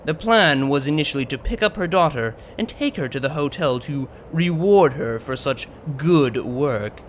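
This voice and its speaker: A man's voice: a little high, lively intonation, moderate pace, a little hiss or hum. A man reading scripted fiction as an audiobook narrator.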